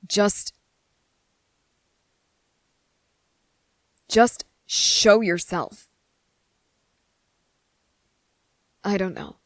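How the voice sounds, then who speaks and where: Woman as defiant young boy, guarded, lowish pitch, fry in the voice, deliberate delivery, ASMR audio drama. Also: no room sound. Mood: insistent, frustrated. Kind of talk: ASMR roleplay audio drama, soft monologue.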